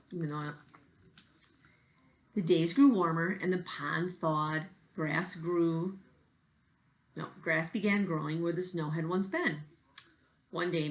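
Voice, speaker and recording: Woman with a low voice reading a kids picture book out loud, like a teacher. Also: steady tone, measured pace, poor audio. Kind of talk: storybook read-aloud.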